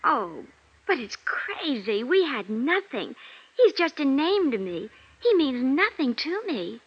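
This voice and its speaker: An actress playing a flustered, genteel young stage performer in a 1950s radio drama, with hesitant, breathy interjections. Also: female voice, high voice, very animated, takes it slowly, heavily acted. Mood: shocked. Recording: dull vintage radio recording.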